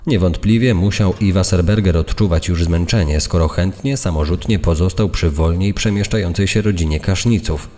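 A man's voice: sits low, normal speed, few pauses, dark tone, faint music behind. A man reading out accounts in a scripted, narrated style. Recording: long hall-like reverb.

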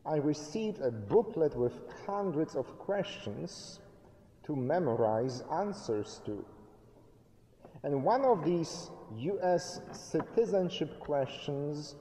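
A middle-aged pastor delivers a sermon at a very slow, measured pace, his dark, mellow voice rising and falling in lively swings. He pauses often for emphasis, and his words ring out through a long church reverb. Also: on the high side. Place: church sanctuary, indoors, at a lectern. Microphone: fixed camera at a distance.

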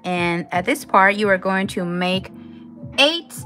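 A woman's voice: medium pitch, animated, takes it slowly, bright, forceful tone, soft background music. A woman from Taiwan, a native Chinese speaker with a Taiwanese accent, hosting a casual live crochet tutorial with informal step-by-step instructions. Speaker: craft tutorial host.

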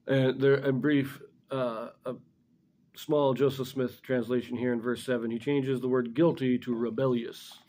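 Mellow middle-aged man explaining scripture. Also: mid-range voice, level tone, measured pace, a little hiss or hum. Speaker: scripture commentator. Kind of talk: informal religious lesson with scripture reading. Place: small indoor room in front of a blackboard.

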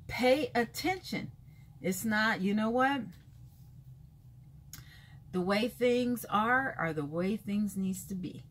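A 51-year-old woman vlogging a sassy, emphatic rant from a bright room with books, repeating lines for effect and calling listeners baby and honey, gesturing as she talks. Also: a little high, slightly rough. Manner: lively hand gestures while talking. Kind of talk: casual talking-head rant. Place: bright room with shelves of books by a window, indoors.

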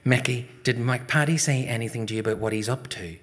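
A man's voice: mid-range voice, quick pace, voice pushed up, lightly acted. A man with a Belfast accent voicing a scolding but loving working-class mother, reading aloud from a story. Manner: sharp, teasing scolding.

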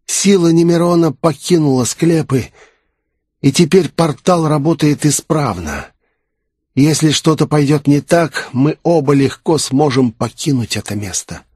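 A man's voice: a little high, animated, unhurried, a little mellow, husky, dry recording, clean studio sound, voice pushed up. A man voicing an assured, composed naga necromancer who explains his schemes in an audiobook. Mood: calm, reassuring.

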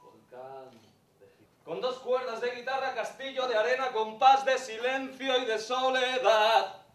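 Male spoken-word performer, very high pitched, theatrical half-sung poetry, echoey theatre sound. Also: animated, distant mic, faint music behind. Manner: drawn-out, theatrical declamation. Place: dark theatre stage, indoors. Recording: echoey theatre, recorded from afar.

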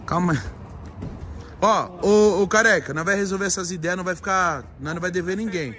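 A man's voice: high-pitched, fairly quick, fairly bright tone. A young man hosting a live vlog, slangy and mock-serious as he eggs his friends on. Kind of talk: casual live-stream banter. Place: restaurant and street outside. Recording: busy restaurant crowd behind.

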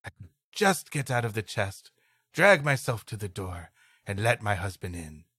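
A man's voice: lowish voice, sing-song, normal speed, pushed and bright, husky, no echo. A man co-hosting a comedy podcast, reading a story aloud and playfully breaking off to riff. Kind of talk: story reading broken up by comic banter.

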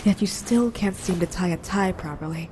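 Woman acting low-voiced, wry secretary, noisy background. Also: moderate pace, natural voice. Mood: teasing. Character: poised, teasing female secretary. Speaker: actor. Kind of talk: audio drama acting.

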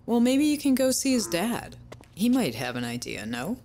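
A woman's voice: a little high, big pitch swings, moderate pace, dark tone, slight background noise. A woman acting in an audio drama as a concerned, encouraging young band member.

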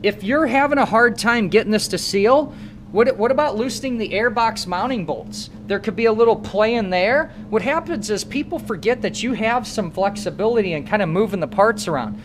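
A man's voice: high voice, lively intonation, fairly quick, a little hiss or hum. A man in his thirties with a Midwestern American accent, teaching motorcycle repair hands-on and quizzing his students as he works. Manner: coaching, asks students questions. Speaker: motorcycle technician instructor. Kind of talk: hands-on shop demonstration.

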